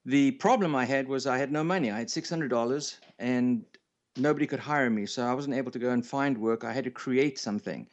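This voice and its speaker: A South African-accented man in his fifties speaks as a guest on a radio show. His pitch is average and the sound is a little dull, as over a remote connection. He tells things in an easy, good-humoured, conversational way. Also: a little fast. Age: 50s. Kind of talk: casual interview storytelling.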